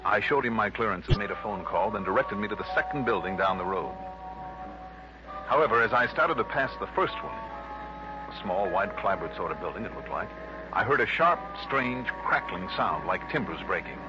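Guy acting brisk american radio detective, lowish voice, wry and dramatic, muffled old recording. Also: animated, close to an everyday voice. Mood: wry narrating. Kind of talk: 1960s radio drama, first-person hard-boiled narration. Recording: dull lo-fi old radio recording, music behind.

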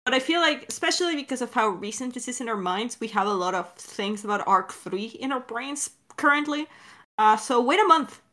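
A woman co-hosting a podcast in a casual Q&A chat, talking in an animated, informal, rambling way. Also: a little high, fairly quick. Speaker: podcast co-host and audio drama director.

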